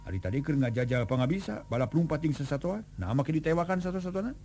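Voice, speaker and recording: A man voicing an arrogant, hot-tempered young man who runs faster than the wind, scolding in a high, strained voice. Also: expressive, dark tone. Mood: boastful.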